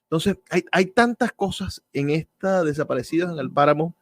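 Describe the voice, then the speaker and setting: A man's voice: on the high side, normal speed, dry recording. Venezuelan man hosting radio, dry close sound. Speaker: radio host. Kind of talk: radio book-show presenting and interviewing.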